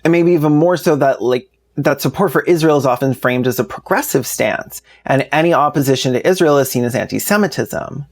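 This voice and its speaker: A man's voice: mid-range voice, a little fast, hardly any pauses, soft background music. A man narrating a scripted commentary video in a plainspoken, informal way with sardonic asides. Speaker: video essayist, host.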